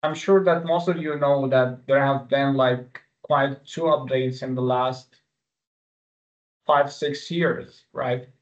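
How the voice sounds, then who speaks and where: A man's voice: mid-range voice, fairly even intonation, slow. Dull-sounding male instructor, native Arabic speaker. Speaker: exam-prep instructor. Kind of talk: webinar teaching with live quiz. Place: online webinar. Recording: dull, lo-fi webinar audio.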